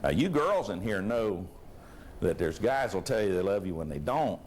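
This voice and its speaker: A man preaching a sermon to a live congregation, folksy and animated, with a loud voice and frequent rhetorical questions. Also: fairly low pitch.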